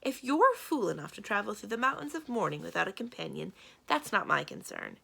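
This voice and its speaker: A proud young king of a storybook forest, acted out by a woman. He is exasperated and protective, objecting emphatically with animated pitch and a slightly husky grain. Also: a little fast, exaggerated voice. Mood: appalled, emphatic. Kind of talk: storybook read-aloud.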